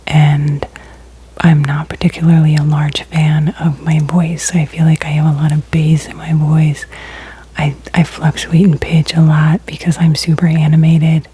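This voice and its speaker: A woman, talking in soft, breathy whispers with many ums in a soft-spoken ASMR video. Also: low-pitched, flat delivery, measured pace, low-quality recording. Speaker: ASMR creator.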